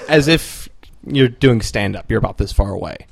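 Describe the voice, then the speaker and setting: A man hosting a podcast in a small room, calmly giving practical instructions about mic distance. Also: on the low side, moderate pace, creaky, a little hiss or hum. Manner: practical, gives mic directions. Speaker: podcast host. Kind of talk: casual chat and instructions.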